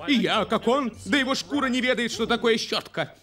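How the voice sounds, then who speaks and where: A man's voice: extremely high pitch, a little fast. An actor voicing a vain, pampered male house cat, fussy and theatrical, in a cartoon dub.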